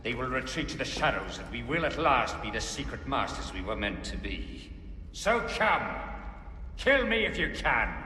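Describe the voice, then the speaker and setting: A bright, forceful video game villain, sneers like a scheming secret-order mastermind. His delivery swings widely and is contemptuous and theatrical, ringing through a long hall reverb. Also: medium pitch, very animated, moderate pace. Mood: menacing, taunting. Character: taunting, power-hungry male Templar mastermind. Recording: echoey hall reverb with faint noise.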